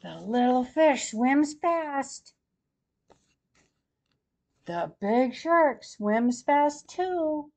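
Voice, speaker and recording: A woman in her sixties reading a children's storybook aloud in a deliberate, expressive way for young listeners. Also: sits high. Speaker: grandmother host reading picture books to children. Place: small plain room at home, seated in armchair.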